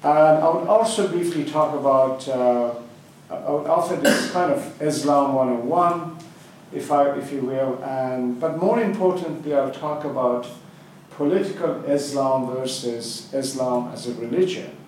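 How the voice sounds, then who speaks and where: A man whose first language is Farsi, giving a public talk at a book gathering, with many pauses, fillers and restarts. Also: mid-range voice, a little mellow, slight background noise. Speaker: guest speaker at a book club gathering. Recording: echoey hall, far from the mic.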